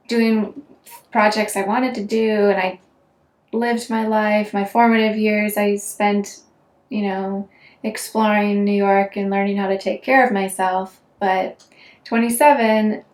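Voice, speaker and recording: A 27-year-old woman speaking steadily and reflectively in a documentary interview. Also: mid-range voice. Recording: roomy echo.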